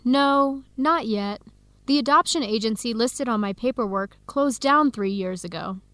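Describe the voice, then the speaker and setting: An actress with an American accent playing an upbeat young Ethiopian American researcher in a radio drama, speaking in a clear, chatty, expressive way. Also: female voice, a little high, unhurried, dry recording. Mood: earnest, explaining. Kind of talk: scripted radio drama dialogue for English learners.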